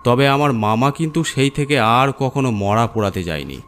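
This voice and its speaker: A man's voice: mid-range voice, expressive, normal speed, fairly bright tone, slight background noise. A man narrating a scripted horror story as its storyteller.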